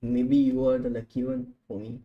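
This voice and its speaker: A man's voice: medium pitch, flat delivery, normal speed, dull audio. A man, chatting casually and teasingly as a live streamer. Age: 20s. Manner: teasing, flirty, repeats himself. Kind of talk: casual video chat.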